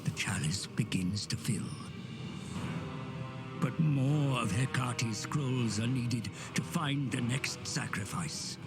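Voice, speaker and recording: Slow, grave male narrator over music. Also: mid-range voice. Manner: slow, grave storyteller delivery with hushed whispered asides. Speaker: cutscene narrator. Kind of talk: scripted dramatic narration. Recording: studio narration over loud orchestral score.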